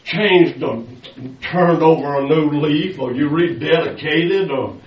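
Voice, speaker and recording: A man's voice: on the high side, slow pace. An older man preaching a sermon, pressing his listeners with rhetorical questions. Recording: echoey, distant, dull lo-fi sound.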